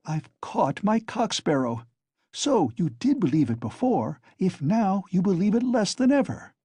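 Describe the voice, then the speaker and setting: A man's voice: high-pitched, big pitch swings, unhurried, dark tone, breathy edge, no room sound, pitched above the performer's own voice, heavily acted. A man reading an audiobook, voicing a feverish, bitter young male student who taunts with venom. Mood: taunting.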